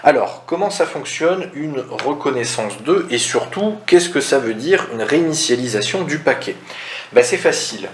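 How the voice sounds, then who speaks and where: A man's voice: mid-range voice, expressive, moderate pace. A man presents board game rules in a casual, step-by-step tutorial, conversational and didactic, often asking questions and then answering them. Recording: roomy echo, audible background noise.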